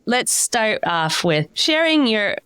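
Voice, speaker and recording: A woman's voice: a little high, sing-song, takes it slowly, a bit bright, smooth, no room sound. A woman hosting a podcast, upbeat and mixing in Spanish words as she introduces her guest and asks questions. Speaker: podcast host. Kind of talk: scripted intro then interview.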